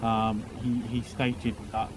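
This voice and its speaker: A man testifying as a witness in a roleplay court, talking formally and haltingly, often repeating words. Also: on the low side, monotone, noisy background.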